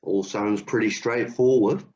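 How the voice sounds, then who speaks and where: A man's voice: on the low side, steady tone, unhurried, a little mellow. A man playing Hercules, a keen, overconfident hero looking for work in a comic radio play. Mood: breezy confident. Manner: cheerfully overconfident, matter-of-fact. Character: Hercules, strong, keen, job-hunting hero. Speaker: job seeker. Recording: dull lo-fi audio.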